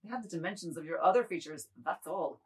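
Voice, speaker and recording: Middle-aged male role read by a woman: husky, lowish, wordy, swinging between abasement and defiance, theatrical. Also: quick pace. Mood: cold, scornful. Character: self-abasing middle-aged man, guilty, wordy, then defiant. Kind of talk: dramatized reading of a modernist novel.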